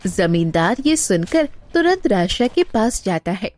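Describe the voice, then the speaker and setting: A woman's voice: mid-range voice, very animated, a little slow, darkish tone, dry recording. A woman narrating a scripted cartoon moral story as its storyteller.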